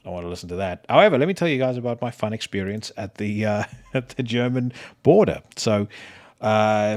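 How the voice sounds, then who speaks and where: Deep-voiced South African man rambling. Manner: swears freely, rambling with many fillers and restarts. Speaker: podcast host. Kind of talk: casual solo podcast chat.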